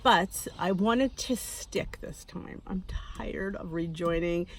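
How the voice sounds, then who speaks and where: A woman's voice: on the low side, takes it slowly. A woman in her early fifties, talking candidly to camera in a rambling, self-deprecating way and often restarting her sentences. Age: 50s. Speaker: weight-loss vlogger. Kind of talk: casual talking-to-camera monologue.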